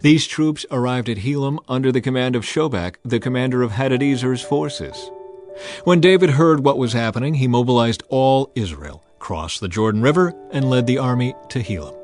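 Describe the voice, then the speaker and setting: A man giving a measured, solemn, dramatized narration of scripture as an audiobook reader. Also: mid-range voice, lively intonation, darkish tone. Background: music.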